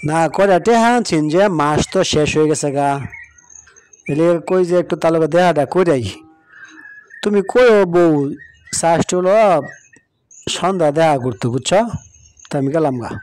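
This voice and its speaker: A man voicing an insistent village moneylender in a cartoon, loud and demanding, with a rural Bangladeshi accent. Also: fairly high pitch, moderate pace, pauses often, clean tone, no echo, natural voice.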